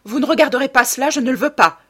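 An agitated young man from a storybook as a woman reads him, secretive and imperious. The voice is slightly husky and raised in pitch, pressing every protest with emphasis. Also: very fast, a bit bright. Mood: terrified anger.

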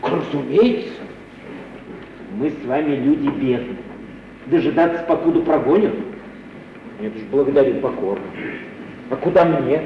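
An actor playing an overgrown schoolboy dandy, brash, whiny and self-important, in 1950s Russian radio drama style. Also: male voice, medium pitch, a little slow, pauses often, distant mic, lightly acted. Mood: irritated. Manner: whiny, insolent, pompous. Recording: muffled, echoing old radio recording, slight noise.

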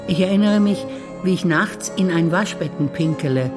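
A female stage actor reciting personal memories in a calm, reflective way, over music. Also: on the low side, normal speed.

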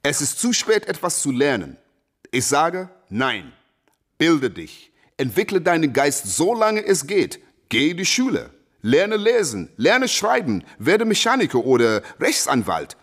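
An actor playing an outspoken male boxer, husky, exhorting in short emphatic sentences as in an interview. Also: a little high, very animated, unhurried, fairly bright tone, heavily acted. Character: outspoken male boxer preaching education over fighting.

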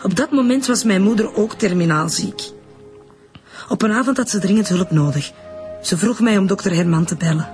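An actress with a Flemish accent playing a firm, defensive, grieving mistress in a radio drama. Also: female voice, mid-range voice, moderate pace, darkish tone, faint music behind, lightly acted. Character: dead doctor's defensive, grieving secretary and mistress.

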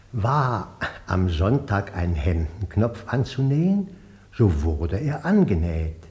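An actor playing a grandfather who recounts pious old village life in a deliberate, emphatic radio drama monologue. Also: on the low side, warm tone.

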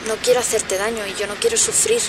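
A woman's voice: medium pitch, steady tone, quick pace, natural voice. Actress as a guarded Spanish woman, very noisy recording. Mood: fearful, guarded. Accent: Spanish, from Spain. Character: guarded, fearful woman afraid of love. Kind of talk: radio drama acting.

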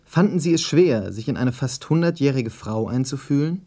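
A man working as interviewer and presenter, reading scripted commentary and questions in a formal, measured, literary manner. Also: mid-range voice, animated, warm tone. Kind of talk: scripted radio feature narration and questions.

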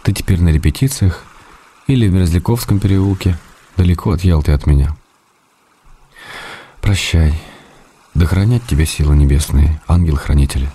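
An actor in a radio drama reading the letters of a lonely man writer, measured, wryly tender and melancholy. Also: sits low, mellow, breathy edge. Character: lonely man writer, wry and tender, missing his love.

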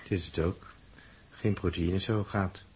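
A man's voice: sits low, steady tone, measured pace, darkish tone, slightly rough, heavily acted. An actor playing a plain-spoken, fatherly spaceship crewman in a 1960s Dutch radio drama, laconic and soothing. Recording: noisy early 1960s radio drama recording.

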